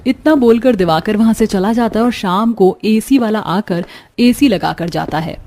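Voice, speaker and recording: A woman's voice: medium pitch, normal speed, mellow. A woman reading scripted narration for a cartoon story. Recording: music behind the voice. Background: background music.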